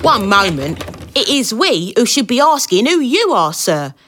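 A woman's voice: on the high side, very animated, unhurried, a bit bright, a little hiss or hum. A fluttery genteel lady. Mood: fussy. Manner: proper, fluttery. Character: genteel, fussy Victorian ghost lady.

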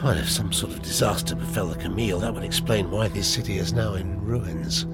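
An actor playing an inquisitive, humane time traveller in an audio drama, expressive and thoughtful, full of questions. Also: male voice, lowish voice, brisk, creaky, over loud music. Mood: curious.

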